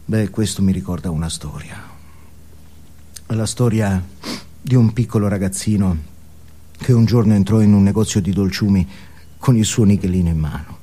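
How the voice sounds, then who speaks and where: A male actor playing a guru who tells a parable in deliberate, suspenseful theatrical style. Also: lowish voice, dark tone, lightly acted. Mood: mock-solemn. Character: manic guru as hushed, folksy male storyteller. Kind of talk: dramatic theatrical storytelling.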